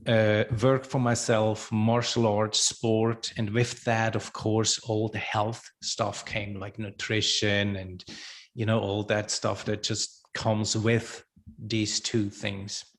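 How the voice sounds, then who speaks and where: A middle-aged man from Switzerland, a podcast guest, speaking slowly and haltingly with many restarts; his is not English. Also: lowish voice, flat delivery. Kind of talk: podcast interview.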